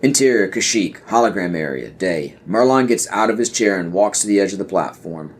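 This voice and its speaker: A man's voice: low voice, lively intonation, brisk, husky. A man reading stage directions as the narrator of a scripted audio play.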